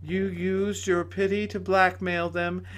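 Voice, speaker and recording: A man voicing a gentle pleading lady. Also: high voice, flat delivery, takes it slowly, voice pushed up. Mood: urgent pleading. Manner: soft, earnest, urgent pleading.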